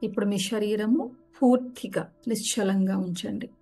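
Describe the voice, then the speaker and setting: A woman gently guides a relaxation meditation, giving unhurried step-by-step instructions. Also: medium pitch. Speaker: yoga nidra guide. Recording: music behind the voice. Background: background music.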